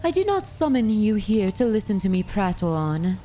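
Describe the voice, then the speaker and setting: A woman's voice: medium pitch, very animated, dark tone, a little hiss or hum, close to an everyday voice. A woman voicing a centuries-old noble vampire mistress in an ASMR roleplay, speaking formally with courtly, commanding and wistful poise. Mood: gracious. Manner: formal, unhurried, aristocratic phrasing. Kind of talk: ASMR roleplay audio drama.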